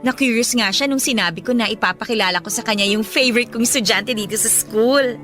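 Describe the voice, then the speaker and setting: A woman's voice: a little high, fairly quick, natural voice. A Filipino woman playing a popular, confident and blunt older schoolgirl in a radio drama, breezy and gushing in casual Taglish. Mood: confident, flattering. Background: background music.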